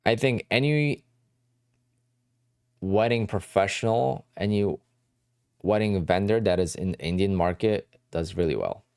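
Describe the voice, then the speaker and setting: Deep, dark-voiced man chatting casually. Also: measured pace, long pauses, smooth, no room sound, studio quality. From New Jersey. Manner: rambling, conversational, answers chat questions. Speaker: day trading livestream host. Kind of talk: casual livestream chat.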